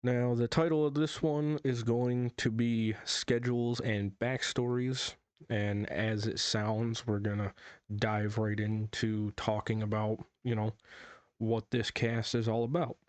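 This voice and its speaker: A young man hosting his own podcast in a casual, rambling way, his voice a little hoarse from a cold. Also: medium pitch, flat delivery, measured pace, a little mellow, no room sound. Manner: rambling, frequent fillers and self-corrections. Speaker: podcast host. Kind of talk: casual solo podcast talk.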